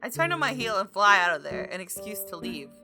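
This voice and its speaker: A woman reading a visual novel game aloud in an expressive, theatrical storytelling style, with casual asides. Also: mid-range voice, fairly quick, music in the background.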